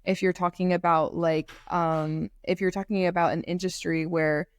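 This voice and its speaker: A woman's voice: on the low side, level tone, normal speed, clear, resonant tone, no echo. A twenty-year-old woman from North Carolina, a law student guest, talking casually on a podcast, rambling with many "like" fillers over her computer mic. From North Carolina, USA. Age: 20s. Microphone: computer mic, remote call.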